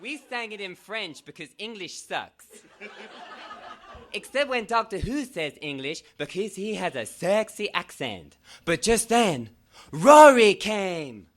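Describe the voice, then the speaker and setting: A man's voice: high-pitched, fairly bright tone, exaggerated voice. A man performing a comedic reading as a melodramatic, gushing nineteen-year-old fangirl telling her own story with exclaiming emphasis.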